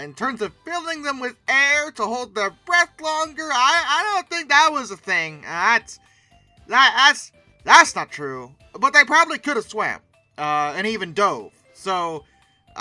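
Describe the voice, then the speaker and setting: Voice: medium pitch, big pitch swings, moderate pace, pushed and bright, no echo, soft background music. A commentator talking casually off the cuff about pictures, with a theatrical, mock-dramatic way of emphasizing things. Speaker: host of a paleoart commentary channel.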